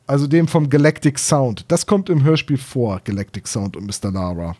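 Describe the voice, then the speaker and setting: A man's voice: mid-range voice, normal speed, mellow. A man chatting casually as co-host of a podcast about books and audio plays.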